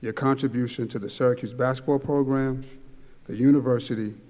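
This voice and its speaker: A man's voice: mid-range voice, fairly even intonation, brisk, a little mellow. A man delivering a formal, ceremonial tribute speech.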